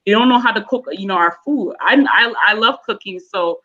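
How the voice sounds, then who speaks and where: A woman's voice: mid-range voice, a little fast, pushed and bright. A young woman with a Nigerian-American accent, talking casually as a panel guest, rambling with many fillers and restarts. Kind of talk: casual discussion. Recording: dull lo-fi sound.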